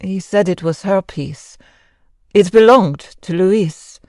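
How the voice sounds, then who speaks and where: A woman acts out a sorrowful wife of a mad composer in an old-time radio drama. She is a Frenchwoman who speaks very slowly in a mid-range voice, with formal, halting phrasing and a mournful weight. The sound is very dry. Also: mid-range voice, a little mellow, dry recording. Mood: wistful.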